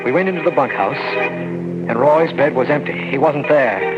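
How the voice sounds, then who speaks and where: A man's voice: mid-range voice, big pitch swings, normal speed, natural voice. An actor playing a frightened young scientist who narrates his story in a 1940s radio drama. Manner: tense, shaken storytelling. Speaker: narrator and character. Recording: dull old radio recording, with music.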